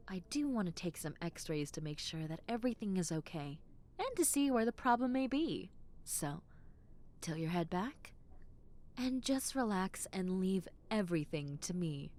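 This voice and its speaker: A young woman voicing a gentle, caring dentist with a playful teasing side, speaking unhurriedly in a lowish voice that rises and falls expressively. She reassures softly and often sinks into a hushed whisper. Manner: playful, with frequent soft giggles. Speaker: voice actress in audio roleplay. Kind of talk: ASMR roleplay.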